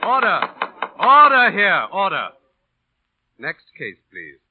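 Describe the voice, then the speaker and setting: A man's voice: high-pitched, wildly swooping pitch, takes it slowly, heavily acted. Noisy, narrow vintage radio sound frames an impatient male magistrate. He is curt and authoritative, with strongly moving intonation. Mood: stern. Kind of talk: 1930s-40s radio drama acting. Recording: noisy, muffled old radio recording.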